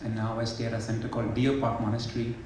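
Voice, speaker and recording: A man's voice: mid-range voice, little pitch movement, quick pace, a little mellow, a little hiss or hum. A man from India, a Buddhist monk, briefly introducing himself to an audience with light humor. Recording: echoey hall, from far off.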